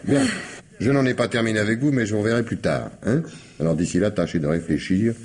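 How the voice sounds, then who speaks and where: An actor playing an insistent police commissioner in a radio mystery, gruffly courteous, muttering hesitations before abrupt questions. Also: male voice, lowish voice, brisk, a little mellow. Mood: stern, insistent. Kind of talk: French radio mystery play acting.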